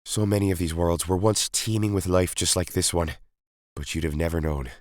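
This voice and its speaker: A man's voice: low-pitched, normal speed, no room sound, close to an everyday voice. A man voicing a grave, urgent Time Lord hero. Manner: emphatic, commanding.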